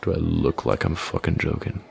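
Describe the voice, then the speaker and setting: A man playing a smug, menacing young male bully in an intimate audio roleplay, taunting with slow, deliberate threats in a deep, gravelly voice. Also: a little mellow, a faint crowd, exaggerated voice. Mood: smug, dismissive. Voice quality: deep, gravelly, breathy at line ends.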